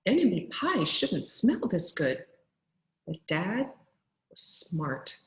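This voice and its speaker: Unhurried woman teacher in an echoey room. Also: on the low side, sing-song. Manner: deliberate, clearly phrased teacher delivery. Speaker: teacher reading aloud to pupils. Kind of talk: expressive storybook read-aloud for children.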